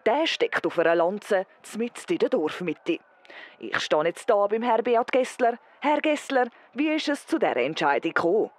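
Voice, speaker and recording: A woman's voice: medium pitch, big pitch swings, normal speed. A woman playing an earnest, persistent field correspondent in a parody news report, formal and dramatic, with a Swiss German accent. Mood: serious.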